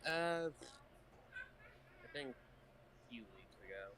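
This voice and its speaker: A man's voice: medium pitch, expressive, slow pace, a bit bright. A man with a mid-range voice that moves up and down a lot chats casually. There is faint noise on his microphone. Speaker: panelist. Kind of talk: casual chat.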